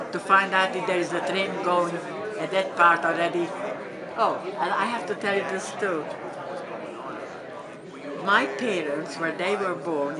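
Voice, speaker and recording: An elderly woman from Transylvania, a native Hungarian speaker with a Hungarian accent, recalling her life in a conversational way and addressing her listeners directly. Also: lowish voice. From Transylvania, Romania. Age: older, 70s or 80s. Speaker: Holocaust survivor giving testimony. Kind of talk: spoken personal recollection to an audience. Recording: large room with audience murmur.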